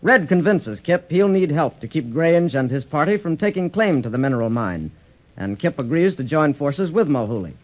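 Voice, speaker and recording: A muffled vintage radio recording carries a male narrator. His voice is slightly high, delivering scripted 1930s serial narration. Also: big pitch swings, normal speed.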